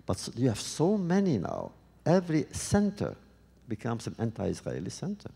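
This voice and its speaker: Gravelly, husky man in a reverberant hall. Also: medium pitch, wildly swooping pitch, warm tone. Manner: halting, thoughtful, frequent restarts. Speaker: guest speaker answering audience questions. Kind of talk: public talk with Q&A.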